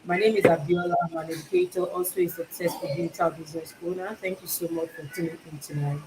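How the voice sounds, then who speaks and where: A woman's voice: fairly low pitch, fairly even intonation, fast, darkish tone. Cheerful woman on a noisy, distant mic. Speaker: guest educator. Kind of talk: live-stream introduction.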